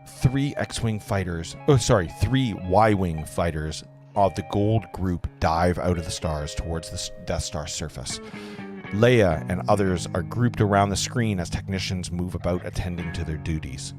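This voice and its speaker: A man's voice: low voice, unhurried, music in the background. A man narrating a script's stage directions at an informal table read, stumbling over words now and then. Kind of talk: reading screenplay stage directions aloud.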